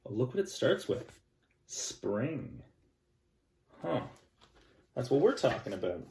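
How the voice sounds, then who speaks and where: Male teacher reading a kids book aloud, gentle and chatty, echoey room, a bit far from the mic. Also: mid-range voice, very animated, takes it slowly, gravelly. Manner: gentle, chatty, explains things to children.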